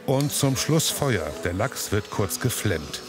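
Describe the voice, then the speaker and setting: Lowish male voice-over for a tv food piece, smooth, music under it. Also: big pitch swings, slow. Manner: smooth, descriptive delivery. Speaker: reporter, voice-over narrator. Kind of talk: scripted TV narration.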